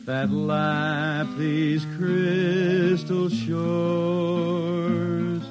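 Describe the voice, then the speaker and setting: A woman delivering a slow ballad over guitar on a vintage radio recording. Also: lowish voice, fairly even intonation.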